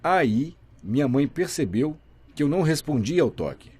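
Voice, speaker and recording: A man voicing a deaf guest's signing in the first person, telling his story in a lively, expressive way. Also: on the high side, normal speed, husky. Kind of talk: simultaneous voice interpreting, first person.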